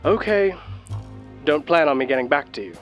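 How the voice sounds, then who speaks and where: An actor playing a cagey, reluctant Interpol agent in a radio drama, with a terse, deadpan manner. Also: male voice, on the high side, normal speed, soft background music, close to an everyday voice. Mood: evasive, dry. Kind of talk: radio drama phone dialogue.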